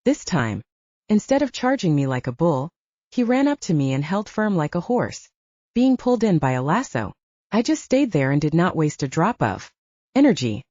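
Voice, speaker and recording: A woman's voice: fairly low pitch, sing-song, normal speed, darkish tone, dry recording. A woman narrating a scripted first-person story as an audiobook narrator, in a slightly dull recording.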